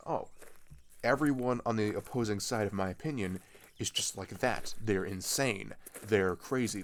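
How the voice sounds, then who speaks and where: A man's voice: on the low side, a little hiss or hum. A young man playing an earnest, frustrated teenage boy who rambles with hesitant restarts in a casual audio roleplay. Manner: rambling, exasperated, hesitant restarts and filler words. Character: earnest, frustrated teenage male migrant venting about politics.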